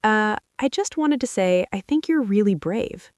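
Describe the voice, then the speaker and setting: A woman's voice: medium pitch, animated, measured pace, dry recording, clean studio sound, voice pushed up, lightly acted. A woman voicing an awkward, earnest male housemate offering hesitant support in an audio drama. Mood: shy, supportive. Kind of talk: audio drama narration dialogue.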